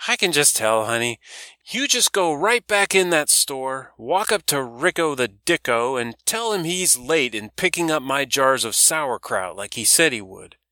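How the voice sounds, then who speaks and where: Audiobook figure: man putting on a raspy, bossy old woman vendor, pitched well up, teasing. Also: sing-song, unhurried, no echo, clean studio sound. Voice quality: raspy, loud. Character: raspy brash older woman, dock hot dog vendor. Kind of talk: audiobook character voice.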